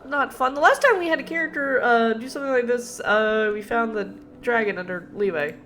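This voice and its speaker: A woman's voice: fairly high pitch, fairly quick, a bit bright, soft background music. A young woman giving casual, blunt reaction commentary as a game streamer, slangy and prone to swearing.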